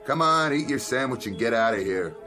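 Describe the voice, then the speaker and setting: An actor playing a gruff, threatening bartender in an audio drama. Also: male voice, medium pitch, animated, brisk, over music, natural voice. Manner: gruff, bullying.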